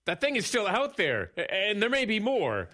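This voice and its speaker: An actor playing an earnest, shaken park ranger in an audio drama, speaking haltingly and stammering as he pleads. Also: high voice, wildly swooping pitch, sharp tone, full character voice. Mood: urgent pleading. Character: earnest, shaken male park ranger, hesitant under pressure.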